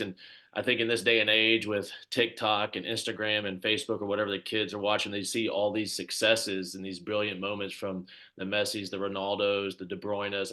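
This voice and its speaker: Male host, lowish voice, casual and filler-heavy, runs a coaches' video call. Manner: chatty, lots of fillers, warmly thanks others. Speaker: club coach hosting the coach introductions.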